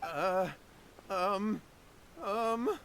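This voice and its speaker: A man's voice: sits high, extremely slow, a bit bright, a little hiss or hum, exaggerated voice. An actor playing a nervous, evasive young man in a video game, flustered and prone to stammering. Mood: panicked. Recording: music behind the voice.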